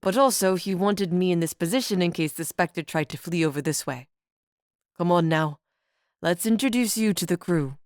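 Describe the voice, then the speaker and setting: A woman voicing a calm, very tall cloaked man with a thick accent, drawing out his vowels slowly in a storybook reading. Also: on the low side, dry recording, studio quality, close to an everyday voice. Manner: slow, overemphasized vowels.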